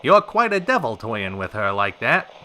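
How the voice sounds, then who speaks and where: A man's voice: medium pitch, big pitch swings, moderate pace, noisy background, natural voice. A man playing a wry, chivalrous radio talk show host in a polished, theatrical old-time style.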